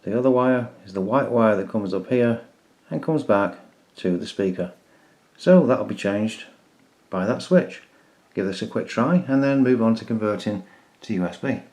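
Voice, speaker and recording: A man's voice: on the low side, moderate pace, a little mellow. A man hosting a casual DIY electronics tutorial, explaining each step in a plain-spoken, informal way. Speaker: DIY electronics video host.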